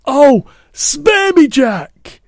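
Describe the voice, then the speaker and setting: A man's voice: very high-pitched, sing-song, drawn-out words, vocal fry, a little mellow, no room sound, clean studio sound, pitched above the performer's own voice, heavily acted. A man voicing a huge brutish man-eating giant in a storybook, screeching and pleading in terror with broken grammar. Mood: terrified begging. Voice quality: screechy, bellowing.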